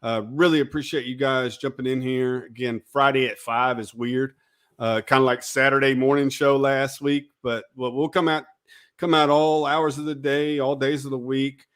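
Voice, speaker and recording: Man hosting a sports chat show, a bit hoarse, relaxed and chatty, mid-pitched voice. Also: normal speed. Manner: easygoing, thanking viewers in a plain chatty way. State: slightly hoarse. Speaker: host. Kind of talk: casual sports talk show.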